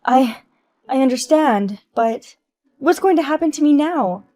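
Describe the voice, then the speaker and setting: A woman voicing Emma, an entitled young wife who dodges blame, in a shrill, whiny and indignant voice. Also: animated, unhurried, darkish tone, dry recording. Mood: panicked pleading.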